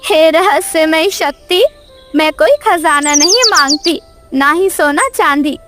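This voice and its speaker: A woman's voice: high voice, slow pace, loud music behind the voice. A woman voicing a kind, humble mother bird in an earnest, tender cartoon story style. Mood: pleading, grateful. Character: kind, humble mother sparrow, gentle and forgiving. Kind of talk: cartoon moral story acting.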